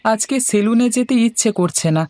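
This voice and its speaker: A man plays a young husband from a cartoon, a barber with a fairly high voice. He is good-natured and eager to please, talking in a playful, teasing way. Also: moderate pace, dark tone, dry recording, natural voice. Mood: affectionate, playful. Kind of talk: Bengali cartoon dialogue.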